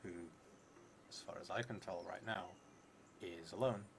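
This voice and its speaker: A man in his thirties with a creaky voice, thinking aloud and reading as he plays a solo tabletop game, halting and trailing into murmurs. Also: low-pitched, noisy background. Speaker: solo tabletop roleplayer streaming.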